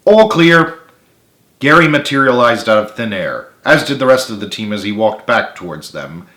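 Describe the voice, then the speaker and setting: A man's voice: on the low side, animated, brisk, bright, forceful tone. A man reading a story aloud as a dramatic narrator in an echoey room. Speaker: author reading own book.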